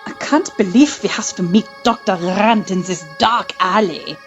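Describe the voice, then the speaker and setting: Woman as a campy, slow-talking scheming villainess. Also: mid-range voice, dull audio, soft background music, natural voice. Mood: conspiratorial, bawdy. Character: scheming, campy, bawdy nurse villain in disguise. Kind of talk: comedic audio drama acting.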